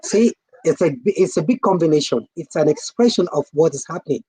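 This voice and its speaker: Man, raspy voice, medium-to-high for a male, conversational explainer, hesitant pauses. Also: lively intonation, a little mellow, rough recording. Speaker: co-presenter explaining language.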